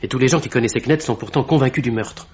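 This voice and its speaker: An actor plays a young man investigating a mystery, in a voice of middling pitch. He is level-headed and presses his questions in a measured way. It has the style of old French radio acting, on a dull recording. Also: darkish tone, natural voice. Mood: probing.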